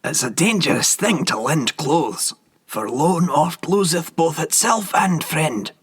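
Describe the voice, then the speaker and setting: A man voicing a frail, vain old gentleman with a thin, reedy voice, fussing and repeating himself. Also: a little high, slow pace, slightly rough, pitched above the performer's own voice, heavily acted. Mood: pleased. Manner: anxious, fussy, self-flattering repetition.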